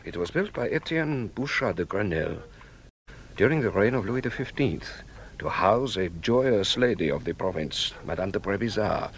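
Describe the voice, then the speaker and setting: An actor playing a shrewd, courteous detective in a radio drama, with a measured, formal, knowing manner. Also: male voice, fairly low pitch, lively intonation, slight background noise. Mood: confiding, measured.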